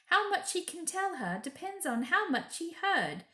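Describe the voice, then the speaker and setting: A woman's voice: very high voice, sing-song, moderate pace, sharp tone, reverberant, voice pushed up, full character voice. A woman voicing a sensible, motherly female talking beaver in a children's storybook, explaining things insistently. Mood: careful, shrewd. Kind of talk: children's storybook character reading.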